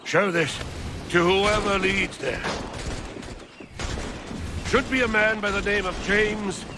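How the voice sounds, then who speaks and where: An actor playing a fierce militia captain in a video game, shouting commands indignantly to his men. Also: sits high, fairly even intonation, slow. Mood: angry, urgent. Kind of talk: video game battle acting. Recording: loud battlefield noise with gunfire.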